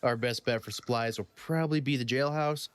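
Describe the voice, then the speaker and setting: A man's voice: medium pitch, brisk, smooth, dry recording, close to an everyday voice. A man playing a cynical, pragmatic, roguish adventurer in a comedy audio drama. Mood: planning.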